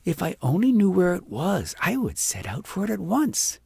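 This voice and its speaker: A man's voice: high voice, wildly swooping pitch, moderate pace, gravelly, warm tone, breathy edge, dry recording, pitched above the performer's own voice, full character voice. A man voicing a vain, scheming, self-pitying young shepherd girl in a haughty, dramatic storybook manner. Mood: wistful, boastful. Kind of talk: acted audiobook storytelling.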